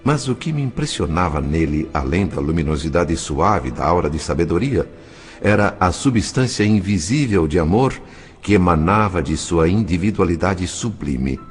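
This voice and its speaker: A man narrating a novel in a measured, expressive, literary way, as for an audiobook. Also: low-pitched, faint music behind. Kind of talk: scripted dramatic narration of a spiritist novel.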